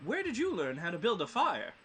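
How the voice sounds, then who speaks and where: Man acting tender-hearted tin man, fantasy table read, high-pitched, swooping intonation, melodramatic, anxious. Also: huge pitch swings, brisk, pushed and bright, heavily acted. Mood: gentle. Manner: fretful, earnest, melodramatic.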